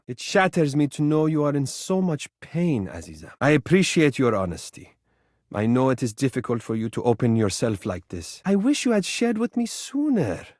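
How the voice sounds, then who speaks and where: A man's voice: medium pitch, darkish tone, no room sound, clean studio sound, natural voice. A man voicing a vain, adoring mirror in a video game, speaking in flowery, formal, dramatic phrases. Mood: earnest, aching. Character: vain, romantic, adoring living mirror, theatrical and tender. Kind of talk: video game character voice acting.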